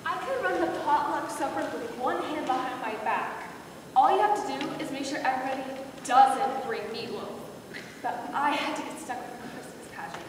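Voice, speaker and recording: An exasperated, put-upon mother acted by a woman speaks in a high voice on stage. She is distant from the mic, with long reverb around her. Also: expressive, moderate pace. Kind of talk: readers theater stage acting. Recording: noisy echoey hall, far from mic.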